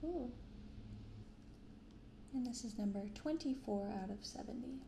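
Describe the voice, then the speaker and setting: A young adult woman speaking softly and unhurriedly in a hushed ASMR style as she hosts a casual show-and-tell. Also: fairly high pitch. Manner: hushed, unhurried reading aloud and chatting. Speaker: YouTube card-opening host. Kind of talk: soft-spoken ASMR, casual commentary. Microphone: close binaural microphone. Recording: roomy sound with clear background noise.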